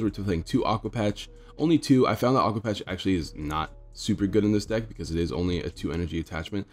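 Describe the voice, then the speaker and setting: A man's voice: low voice, a little mellow. A young man with a stuffed-up nose casually explaining his card deck to viewers, rambling and talking to them as guys. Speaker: card game streamer. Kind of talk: casual deck tech commentary.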